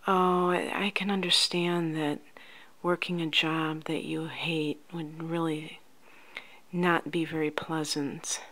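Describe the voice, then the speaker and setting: Soft-spoken woman for ASMR coach roleplay; lowish pitch, very slow, gentle and soothing delivery. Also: level tone. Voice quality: soft, often near-whispered. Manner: gentle, unhurried, soothing, addressing the listener directly. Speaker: ASMR host playing a life coach.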